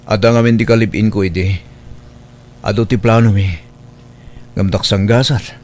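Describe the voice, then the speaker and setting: A man's voice: lowish voice, fairly even intonation, normal speed, a little mellow, slight background noise, lightly acted. An actor playing an earnest adult son and father in an Ilocano radio drama, with a plaintive delivery. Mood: regretful.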